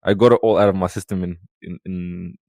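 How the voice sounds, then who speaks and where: A man's voice: deep voice, steady tone, brisk, creaky, darkish tone, dry recording, studio quality. A man in his thirties from Sudan, a podcast guest, telling childhood stories in a rambling casual way with lots of "you know.". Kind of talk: casual storytelling conversation.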